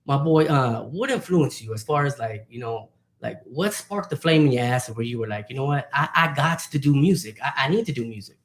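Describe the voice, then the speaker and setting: A man's voice: mid-range voice, expressive, brisk. A man hosting a podcast interview, hyped and slangy, swearing freely. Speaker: podcast host. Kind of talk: casual interview chat. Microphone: handheld mic. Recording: echoey room.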